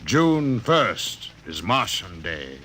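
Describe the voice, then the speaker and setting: A man's voice: lowish voice, sing-song, extremely slow, bright, forceful tone, exaggerated voice. An actor playing a mysterious, coolly sinister client in a mid-century radio drama, speaking formally and precisely, savoring his words. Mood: commanding. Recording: noisy old radio broadcast recording.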